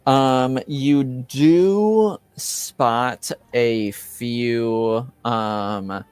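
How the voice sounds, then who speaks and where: Male game master, halting and unhurried delivery, clean resonant tone, faint music underneath. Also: medium pitch, a little mellow, clean tone, dry recording. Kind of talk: running a tabletop roleplaying game.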